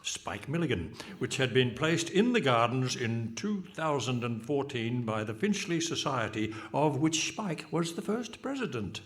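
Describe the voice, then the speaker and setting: A man's voice: medium pitch, very animated, unhurried, hardly any pauses. A man playing an officious radio announcer, narrating a live comedy with formal mock seriousness. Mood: mock-solemn. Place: live stage performance in a hall. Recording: faint noise, live stage.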